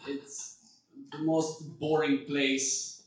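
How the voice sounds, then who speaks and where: A man telling a comic story on stage, deadpan and wandering, interrupting himself as he goes. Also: on the high side, very slow. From Bulgaria. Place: stage at a live show. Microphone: phone recording from the audience. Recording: distant echoey phone recording.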